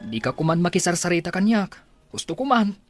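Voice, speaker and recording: A woman's voice: fairly low pitch, sing-song, a little fast, soft background music. A woman playing a concerned, apologetic cousin in a radio drama, earnest and pleading, with an Ilocano Filipino accent.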